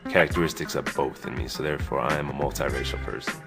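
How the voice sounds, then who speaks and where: A man introducing himself in a calm, matter-of-fact way with music underneath. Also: a bass voice, rapid-fire, gravelly. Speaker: interviewee.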